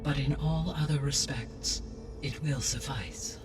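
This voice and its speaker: Man acting as male game AI, calm, formal, precise diction, slightly distant, clear background music. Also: medium pitch, natural voice. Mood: measured, explanatory. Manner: formal, measured, precisely articulated. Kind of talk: scripted game cutscene dialogue.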